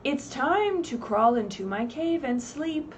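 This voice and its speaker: A woman voicing a kindly female bear from a children's storybook, with a rumbling, deliberate delivery. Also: lively intonation. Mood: gentle, urging. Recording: distant mic, faint background noise.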